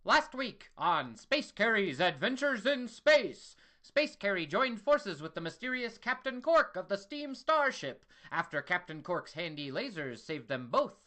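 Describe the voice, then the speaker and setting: A woman's voice: mid-range voice, very animated, unhurried, few pauses, sharp tone. A woman playing a grandiose, theatrical narrator of a pulpy space adventure audio serial. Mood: grandiose, suspenseful. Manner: dramatic, theatrical announcer delivery. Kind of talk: scripted audio drama narration.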